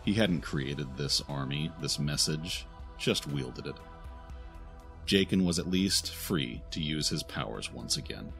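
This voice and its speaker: A man's voice: a bass voice, vocal fry, a bit bright. A man reading scripted audio drama narration in a dramatic, solemn storytelling manner.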